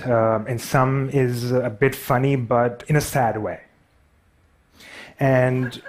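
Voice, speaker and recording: A man's voice: medium pitch, darkish tone. A man with a Pakistani accent telling a personal story, a speaker who stutters and pokes fun at himself. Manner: stutters, self-deprecating. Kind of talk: storytelling talk.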